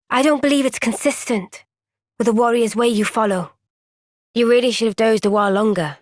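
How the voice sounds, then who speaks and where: A woman playing a young, thoughtful and caring warrior heroine in a video game, speaking in a measured, earnest, gentle way. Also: fairly high pitch, dry recording, studio quality. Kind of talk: video game cutscene voice acting.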